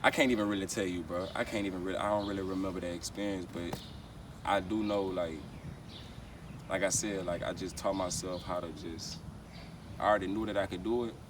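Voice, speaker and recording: A very deep-voiced American man talks casually as a rapper being interviewed. His speech is slangy and peppered with repeated verbal tags. He sounds set back from the microphone, with clear outdoor city noise behind him. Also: steady tone, a little fast. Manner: slangy, repeats verbal tags like "you know what I'm saying". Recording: noisy, distant mic, outdoor street ambience.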